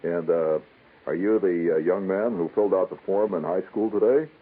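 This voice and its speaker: An American man voicing a polite, officious store personnel manager with a formal, halting manner in a radio story. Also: low voice, slightly rough. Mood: courteous, businesslike. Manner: formal, hesitant, halting with many uhs. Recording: dull lo-fi radio broadcast.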